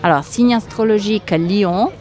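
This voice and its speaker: A woman from Italy speaking with an Italian accent, answering interview questions in a hesitant, thoughtful way with many pauses and fillers. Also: mid-range voice, a little mellow, some chatter behind. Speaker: guest.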